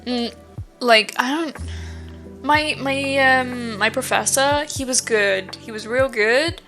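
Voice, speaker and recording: A woman streamer talks loosely to her chat, full of ums and likes, with a stuffed-up nose. Her voice sits a little high and sounds bright and crisp. Faint music plays underneath. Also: slow. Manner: rambling, many fillers, swears casually. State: stuffy nose from hay fever. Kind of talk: casual chat with viewers.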